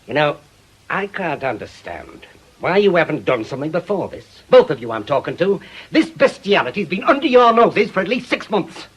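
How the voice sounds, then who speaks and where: An actor playing a bitter, vengeful widowed ex-policeman in a British radio drama, forceful and sardonic. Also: on the high side, sing-song, moderate pace, breathy edge, low-quality recording. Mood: insistent, angry. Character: bitter, obsessive widowed ex-policeman bent on revenge.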